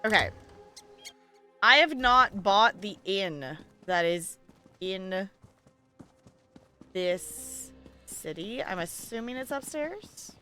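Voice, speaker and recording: A woman's voice: medium pitch, expressive, takes it slowly, long pauses, bright, forceful tone, soft background music. A woman chatting casually and animatedly as she streams a video game live and talks to her viewers. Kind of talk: casual live stream chat with gameplay commentary.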